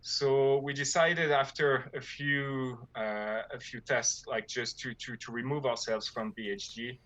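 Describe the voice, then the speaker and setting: A man's voice: mid-range voice, steady tone, a little slow, a bit bright, no room sound. A man from France with a French accent, talking about his gaming guild in a casual interview, hesitant with many fillers and restarts. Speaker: guild leader.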